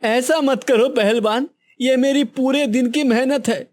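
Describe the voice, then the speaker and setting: Woman doing a high-pitched, plaintive poor fisherman. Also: unhurried, darkish tone. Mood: pleading, desperate. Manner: earnest, plaintive. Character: poor, pleading fisherman, humble family man. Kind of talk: cartoon moral story voice acting.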